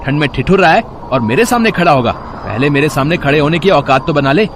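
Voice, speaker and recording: A man's voice: a little high, animated, brisk, close to an everyday voice. A man voicing an arrogant rich young college student who sneers and bullies in a moral story. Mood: bullying. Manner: sneering, haughty. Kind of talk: animated moral story dialogue. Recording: faint street noise behind.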